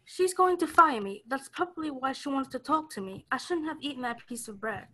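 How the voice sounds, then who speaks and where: Young woman playing a timid, high-voiced maid. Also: animated, brisk, fairly bright tone. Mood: anxious. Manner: timid, deferential. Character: nervous, apologetic young maid to a rich lady. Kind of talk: radio play acting.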